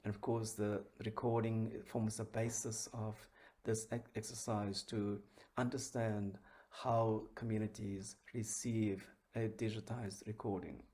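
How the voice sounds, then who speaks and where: A man delivers an academic talk in a lowish, mellow voice. His intonation stays flat and his delivery is measured, pausing to search for words like a researcher addressing a symposium. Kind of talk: academic conference presentation.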